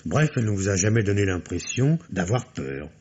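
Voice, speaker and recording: A man's voice: low voice, lively intonation, a little fast, darkish tone, slightly rough. An actor playing a courteous, probing male police commissioner in a French radio mystery, questioning politely and patiently. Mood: formal, probing. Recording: dull lo-fi radio recording.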